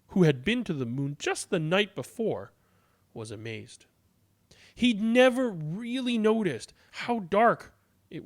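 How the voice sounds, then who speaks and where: A man reading a children's story aloud in an expressive, dramatic way, drawing words out deliberately. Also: sits high.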